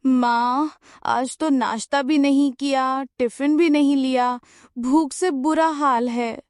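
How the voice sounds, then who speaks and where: A woman's voice: sits high, steady tone, takes it slowly, a little mellow, dry recording, studio quality. A woman voicing a pushy young college student sister-in-law in a cartoon story. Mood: whiny. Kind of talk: cartoon voice acting.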